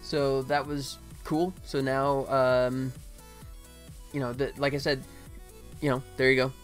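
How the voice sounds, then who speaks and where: A man's voice: mid-range voice, flat delivery, slow, faint music behind. An American man from Atlanta hosting a casual livestream, chatting informally with viewers as he works on his game. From United States, Atlanta. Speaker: game developer and livestream host. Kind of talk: casual livestream chat while working.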